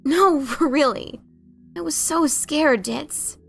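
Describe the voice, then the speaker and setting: A woman plays a brash, adventurous pegasus mare in a dramatic fan fiction reading. Her voice sits fairly high with a gravelly fry, and she is theatrical and expressive over faint music. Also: slow, heavily acted. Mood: earnest. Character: brash adventurous pegasus mare, writer, bickering older sibling.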